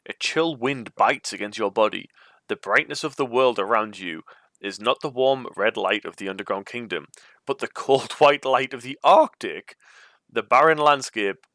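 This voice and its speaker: Bright-voiced man reading a gamebook aloud. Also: mid-range voice, no room sound. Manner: informal, chatty asides. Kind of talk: storybook read-aloud with casual commentary.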